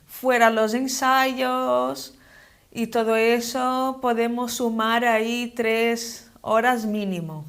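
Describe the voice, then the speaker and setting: A young woman with a non-native Spanish accent answering interview questions in a hesitant, measured, conversational way. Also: a little high, steady tone, a bit bright. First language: not Spanish, speaks it as a second language. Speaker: interview guest, model and actress.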